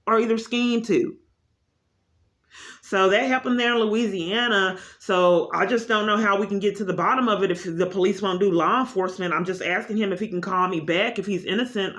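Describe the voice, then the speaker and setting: A woman's voice: mid-range voice, a bit bright. A woman caller speaking unscripted in an insistent, long-winded complaint, often repeating herself.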